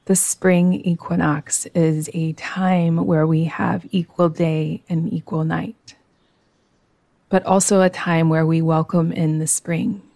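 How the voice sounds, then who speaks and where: A woman guiding a yoga nidra meditation in a soothing, gentle, unhurried manner. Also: sits low, level tone, dry recording. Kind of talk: guided meditation.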